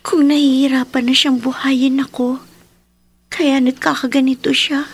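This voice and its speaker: A polite teen daughter in a radio drama, high voice bit husky, earnest n pleading. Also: female voice, level tone, unhurried, heavily acted. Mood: earnest, determined. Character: dutiful, hurting teenage daughter pleading with her father.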